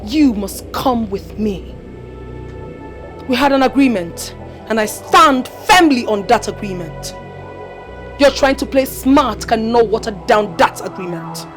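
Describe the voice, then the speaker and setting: A woman's voice: fairly high pitch, animated, measured pace, soft background music. An actress playing the vengeful ghost of a dead woman in a film drama, with a Nigerian accent and a stern, commanding delivery. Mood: stern, demanding.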